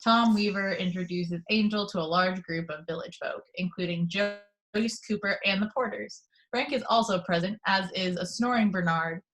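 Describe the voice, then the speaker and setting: A woman's voice: mid-range voice, normal speed, poor audio. A woman reading scene headings and action lines as narrator of a script table read.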